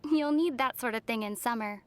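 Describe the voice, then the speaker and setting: A woman voicing a young traveler heroine in a video game, gentle and wry with a soft, teasing manner. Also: on the high side, brisk, natural voice. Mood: calm.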